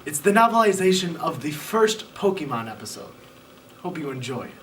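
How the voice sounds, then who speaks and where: A man hosting a storytime show, reading a children's book aloud in a low-key, deadpan way. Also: moderate pace. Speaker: storyteller host. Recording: voice a bit far from the mic, faint noise.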